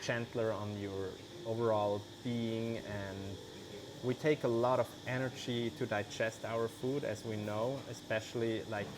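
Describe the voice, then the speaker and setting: A man, an English speaker, talking casually as an interview guest, loose and relaxed, full of "like" and "you know". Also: lowish voice, unhurried, background noise. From Switzerland. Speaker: guest, vegan cheese maker.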